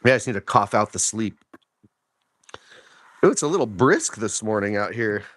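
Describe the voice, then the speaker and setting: A man with a hoarse, deeper morning voice, chatting casually to his viewers while he walks outdoors with his phone, rambling with lots of fillers and questions. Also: lively intonation, normal speed, a bit bright, no echo. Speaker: vlogger. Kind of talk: casual talk to camera on a walk. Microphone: phone held while walking.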